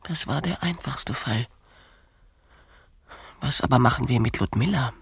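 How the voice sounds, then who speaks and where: A female actor playing an exasperated, reproachful bride in a radio play, husky and breathy, insistent and scolding. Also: very deep voice, lively intonation, a little slow, dry recording, clean studio sound, exaggerated voice. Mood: irritated, insistent. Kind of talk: radio play dialogue, often hushed.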